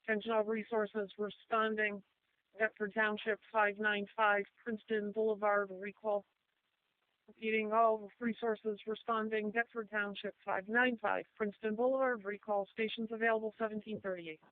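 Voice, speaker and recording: A woman's voice: medium pitch, level tone, moderate pace. A tinny, echo-free radio channel carries a woman's mid-range voice. She is an emergency dispatcher, relaying details in clipped procedural phrases and repeating them. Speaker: county fire dispatcher.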